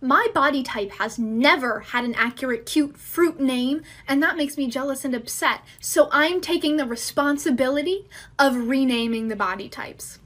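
A woman talking to camera in a mock-serious, deliberate comic monologue. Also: high-pitched, fairly bright tone. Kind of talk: casual scripted-style monologue to camera.

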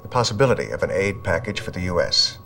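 An actor with an American accent playing a measured, diplomatic president. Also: male voice, sits low, sing-song, vocal fry, sharp tone, faint music behind, exaggerated voice. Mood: measured, resolute.

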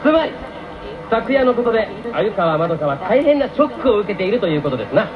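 An actor playing a pompous prosecutor with a formal, theatrical way of questioning, in a radio drama. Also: male voice, high-pitched, sing-song, fast talker. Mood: accusing. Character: pompous young male prosecutor in a dream courtroom. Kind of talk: 1990s radio drama acting. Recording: muffled AM radio broadcast, music behind.

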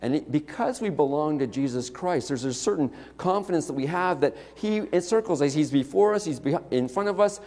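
A middle-aged man preaches in a church sanctuary, his fairly high voice carrying a long hall reverb. He talks in a loose, conversational way, often checking in with the congregation. It sounds like a headset mic picked up from the stage. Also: lively intonation, brisk, hardly any pauses, dark tone. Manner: conversational preaching with frequent "okay?" and "right?" asides. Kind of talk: sermon.

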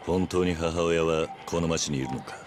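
Actor as a very deep, gruff stoic man. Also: moderate pace, close to an everyday voice. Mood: guarded, serious. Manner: terse, gruff, blunt questioning. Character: stoic, gruff adult yakuza man, guarded but protective. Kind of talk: Japanese video game drama acting.

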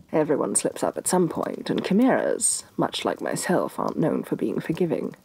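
Evil fantasy queen played by a woman for ASMR roleplay: low-pitched, breathy and soft, haughty and menacing. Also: big pitch swings, dry recording, exaggerated voice, rough recording. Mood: threatening. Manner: imperious, deliberate, disdainful, sighing with exasperation.

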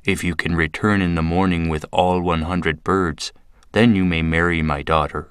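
An actor playing a solemn, deliberate elder man in a children's island folktale. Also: low-pitched, fairly even intonation, a bit bright, clear, resonant tone, dry recording, studio quality. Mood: commanding. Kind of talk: children's storybook character acting.

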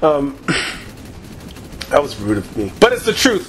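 A man giving loose, profane, rambling commentary over his own gameplay, his voice slightly hoarse after a long session. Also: mid-range voice, very animated, a little slow, slight background noise. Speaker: gaming YouTuber.

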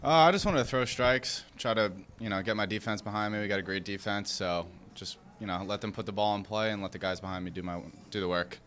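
A young man, a relief pitcher, answering post-game questions in an easygoing, matter-of-fact way into a handheld mic. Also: fast, few pauses, fairly bright tone. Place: indoors in front of a sponsor backdrop. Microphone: handheld interviewer mic.

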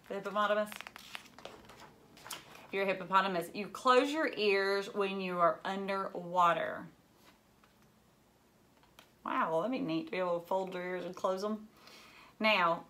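Slow-paced woman reading aloud to children. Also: medium pitch, a bit bright. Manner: exaggerated wonder, frequent questions to young listeners. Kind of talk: children's picture book read-aloud. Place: indoors, furnished living room.

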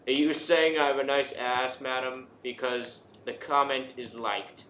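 A man's voice: mid-range voice, fairly even intonation, unhurried, pushed and bright. A man playing a video game, chatting casually and jokingly talking to the characters in it. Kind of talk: casual co-op gameplay chat. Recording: roomy echo, background noise.

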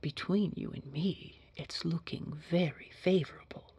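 A woman playing a poised, sinister boss in an audio roleplay, speaking formally in a hushed, husky, measured and quietly controlling way. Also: on the low side, dry recording, whispered, heavily acted. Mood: confiding. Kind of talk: scripted audio roleplay acting.

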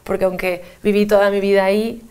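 A woman's voice: medium pitch, little pitch movement, brisk, darkish tone, smooth. A young woman singer with a Mexican Spanish accent, answering interview questions in a chatty, easy way, holding a handheld mic in a TV studio. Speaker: singer guest. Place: TV studio, remote split screen.

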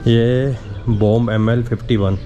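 A man's voice: medium pitch, fairly even intonation, slow pace, lo-fi sound, music in the background. A man, giving chatty travel vlog commentary into a handheld camera, in a car and outdoors. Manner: chatty tour-guide commentary, mixing English words into Hindi. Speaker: travel vlogger. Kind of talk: casual travel vlog narration. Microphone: handheld camera at arm's length. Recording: outdoor camera audio with clear vehicle and wind noise.

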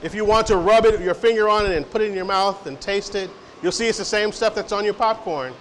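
A man's voice: high-pitched, a little fast, a bit bright. Middle-aged man, company rep at a public Q&A, chatty and loose, recorded from afar in a reverberant hall with faint noise. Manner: friendly, rambling, many fillers and restarts. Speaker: company representative answering audience questions. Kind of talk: informal public Q&A talk. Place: large hall of a science center, indoors.

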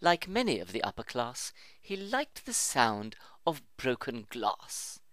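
A man's voice: medium pitch, slow pace, no echo. Theatrical man reading comic verse. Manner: expressive, theatrical storytelling. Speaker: reader.

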